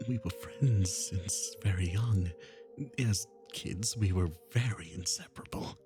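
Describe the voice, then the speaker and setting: A man playing an obsessive, lovesick and menacing kidnapper in a roleplay ASMR monologue, with a breathy voice that often drops into whispers and theatrical, lingering emphasis. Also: low-pitched, unhurried, over music. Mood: wistful, bitter.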